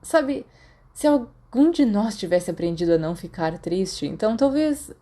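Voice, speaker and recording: A woman's voice: medium pitch, expressive, moderate pace, mellow, pitched above the performer's own voice, natural voice. A woman voicing a tipsy young man in an audiobook, rueful and bitter as he rambles in slang. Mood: bitter, rambling. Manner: slangy, self-deprecating, drunken rambling. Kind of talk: audiobook character dialogue.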